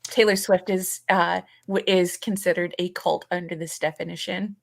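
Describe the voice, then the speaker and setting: A woman's voice: lowish voice, fairly even intonation, moderate pace, vocal fry. A woman co-hosting a live podcast, chatting informally and hesitantly as she searches for her words. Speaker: podcast co-host. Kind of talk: casual live podcast chat.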